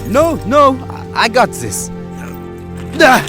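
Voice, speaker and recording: A man acts an excitable young hero, pitched high and swinging wildly up and down. He sounds indignant, in audio drama style, with music underneath. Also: slow pace, exaggerated voice. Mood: flustered, wry.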